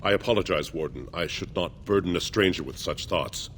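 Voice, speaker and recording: An actor playing a dignified older male dwarf archivist in a fantasy video game, speaking gravely and formally. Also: low-pitched, fairly quick, slight background noise, natural voice. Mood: solemn. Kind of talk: video game character acting.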